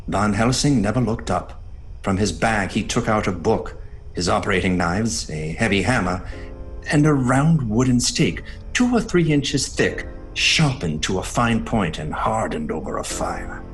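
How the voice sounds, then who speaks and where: An actor playing a sceptical, fearful doctor in a gothic audio drama, speaking in an earnest, dramatic way. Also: male voice, fairly low pitch. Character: haunted male doctor, sceptical and fearful, recounting horrors. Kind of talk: gothic audio drama diary narration and dialogue. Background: music.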